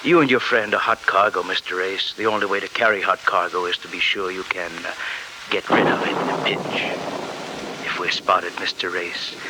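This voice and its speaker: An actor playing a suave smuggler villain in a 1940s radio drama, genteel and hesitant, politely threatening. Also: male voice, deep voice, steady tone, normal speed, natural voice. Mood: polite menace. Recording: noisy old 1949 radio recording.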